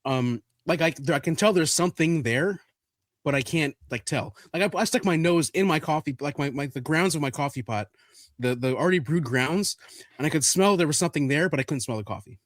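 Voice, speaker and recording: A man co-hosting a card podcast, congested and stuffy, talking in a halting way with many restarts. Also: a little high, lively intonation, dry recording, studio quality. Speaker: podcast co-host. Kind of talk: casual chat.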